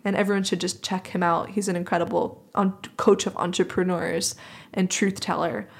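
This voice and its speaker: A woman's voice: lowish voice, flat delivery, moderate pace, gravelly. A woman speaking as a podcast host in a reflective, conversational interview, sounding earnest and appreciative.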